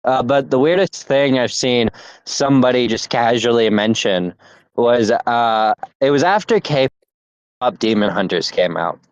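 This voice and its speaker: A man co-hosting a casual podcast, talking in halting bursts with many fillers and restarts. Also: mid-range voice, clean tone, no room sound, rough recording. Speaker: podcast co-host.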